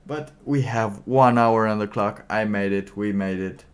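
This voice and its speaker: A man livestreaming a casual monologue in a halting, rambling way, with phrases that trail off. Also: fairly low pitch, clean tone.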